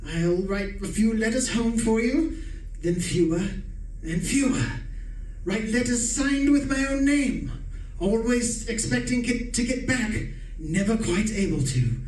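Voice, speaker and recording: Man as a calm, gloating wife-killer for a radio play, higher voice, roomy off-mic sound. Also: unhurried, recorded from a distance, a little hiss or hum. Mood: scheming. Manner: calm, menacing, taunting. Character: cold scheming husband planning murder. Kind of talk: amateur radio drama acting. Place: live stage performance. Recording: roomy stage recording from off mic.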